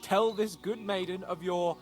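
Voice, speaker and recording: A man's voice: sits high, steady tone, unhurried, soft background music. An actor playing a merry, boastful young nobleman in a video game cutscene, speaking in a flowery, grandiose way. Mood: grateful. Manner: jovial, grandiose, flowery speech.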